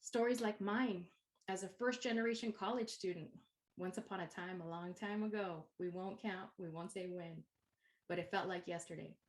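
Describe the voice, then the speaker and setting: Chicana woman giving a poetic welcome. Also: on the low side. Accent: Chicana English with Spanish code-switching. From East San Jose, California. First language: Spanish. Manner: poetic, rhythmic, unhurried phrasing. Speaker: university instructor. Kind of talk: spoken-word welcome address.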